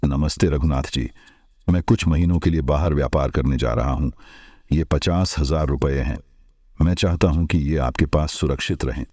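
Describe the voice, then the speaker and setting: A man playing a kind, shrewd older village merchant in a cartoon story, speaking in a measured, advising way. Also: rumbling low, a little mellow, dry recording, clean studio sound. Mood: businesslike. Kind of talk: Hindi cartoon moral-story voice acting.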